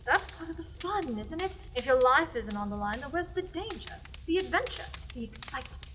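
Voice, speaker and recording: A woman's voice: high-pitched, sing-song, brisk, fairly bright tone, slight background noise. A young actress playing a playful, mischievous village witch who talks in a wry, teasing way. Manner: wry, teasing and thrill-seeking. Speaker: student actor in a radio play. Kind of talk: amateur radio play acting.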